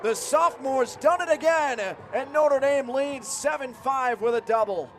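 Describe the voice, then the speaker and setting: High-pitched American man calling live sports. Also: a little slow, soft background music. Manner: clipped phrases, building to excited shouts. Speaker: radio play-by-play sportscaster. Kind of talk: live sports play-by-play commentary. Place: sports venue broadcast position. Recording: loud arena and crowd noise behind. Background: crowd and venue noise.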